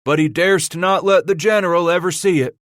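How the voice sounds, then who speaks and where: A man reading aloud, voicing a gruff, grizzled male fur trapper with a frontier drawl. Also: high voice, steady tone, fairly quick, a bit bright, no room sound, clean studio sound, pitched above the performer's own voice. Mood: rowdy.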